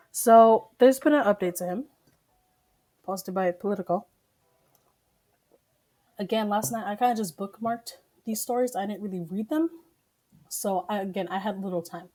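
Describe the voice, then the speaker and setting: A just-woken woman hosting a live news stream from home, reading articles haltingly, stumbling and swearing as she comments. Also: medium pitch, warm tone. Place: home, relatives talking downstairs.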